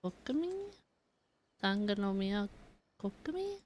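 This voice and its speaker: A young woman chatting casually as she comments live on a game, expressive and giggly. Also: mid-range voice, unhurried, a little hiss or hum. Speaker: game streamer.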